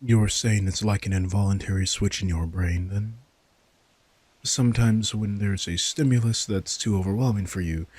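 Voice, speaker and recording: A man's voice: on the low side, a little mellow, slightly rough, dry recording. A man playing a cocky, commanding vampire king in an audio roleplay, with a smug, casually menacing drawl. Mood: cool, curious. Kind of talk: immersive roleplay audio drama monologue.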